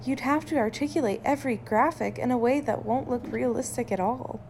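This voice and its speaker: A woman playing a caring, sensible scientist, wife and mother in an audio drama, with a gentle, earnest and expressive delivery. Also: fairly high pitch, brisk, slight background noise, natural voice. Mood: doubtful.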